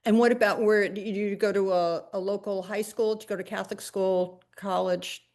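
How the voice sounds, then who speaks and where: A woman's voice: mid-range voice, steady tone, moderate pace, darkish tone. A woman interviewing a guest, asking questions that she restarts and rephrases as she speaks. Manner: asks stacked, rephrased questions.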